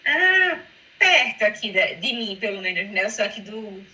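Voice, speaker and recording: A woman's voice: mid-range voice, sing-song, normal speed, sharp tone, low-quality recording. A woman with an Alagoas accent from northeastern Brazil, a headhunter interviewing on a video call in a friendly, chatty way. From Maceió, Alagoas, Brazil. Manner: friendly, chatty, laughs often.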